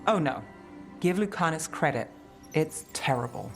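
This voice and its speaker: A woman's voice: sits low, lively intonation, unhurried. A woman playing a wry, world-weary private detective in a fantasy game, with a dry, sardonic way of talking. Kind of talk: cinematic fantasy game dialogue. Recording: music behind the voice.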